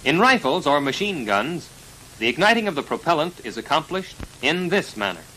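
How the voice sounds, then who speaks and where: An American man narrating a 1940s military instructional film in a formal, precise, measured delivery, on a vintage film soundtrack. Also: fairly high pitch, very animated, sharp tone, slight background noise, rough recording. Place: voice-over recorded for a film.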